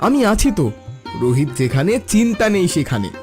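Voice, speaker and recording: A high-voiced young male neighbour, helpful and earnest. He talks in an eager, reassuring way over faint music. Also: big pitch swings, a little fast, darkish tone. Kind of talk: Bengali cartoon voice acting.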